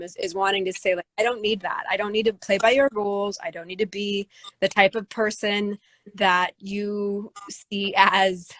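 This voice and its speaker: A woman singer-songwriter with a low voice, answering interview questions thoughtfully, with many fillers and restarts. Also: fairly bright tone, dry recording. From United States.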